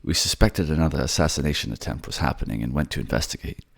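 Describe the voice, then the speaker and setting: A man's voice: rumbling low, quick pace, creaky, full character voice. A man playing a kind, remorseful young prince in a fantasy audio drama, speaking hesitantly. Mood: hesitant, grave. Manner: hesitant, stammering.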